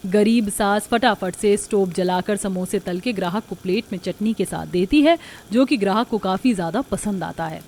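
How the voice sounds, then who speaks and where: A woman's voice: mid-range voice, normal speed, few pauses, darkish tone. A woman delivering scripted narration for a Hindi moral story as its narrator. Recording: music behind the voice.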